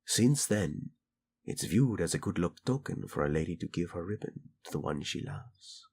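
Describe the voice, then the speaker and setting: A man playing a doting, teasing tyrant prince in a romantic audio roleplay, flirtatious and tender with a husky delivery. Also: low voice, animated, moderate pace, a little mellow, full character voice. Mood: tender storytelling.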